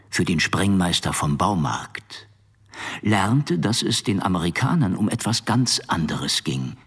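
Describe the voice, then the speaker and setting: A man narrating a scripted audio drama, dry and deliberate, with dramatic pauses. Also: low voice, fairly bright tone, husky.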